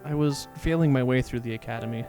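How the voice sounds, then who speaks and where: A man's voice: mid-range voice, quick pace, mellow, faint music behind, lightly acted. A man playing a downcast young ex-student turned mobster, confessing his past in a dramatic fan fiction reading. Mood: resigned, depressed.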